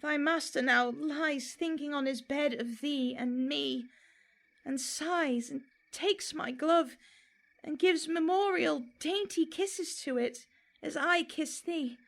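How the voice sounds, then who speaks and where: A woman playing a torn, teasing young Trojan woman in slow, wavering, theatrical Shakespearean verse. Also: high voice, gravelly, full character voice.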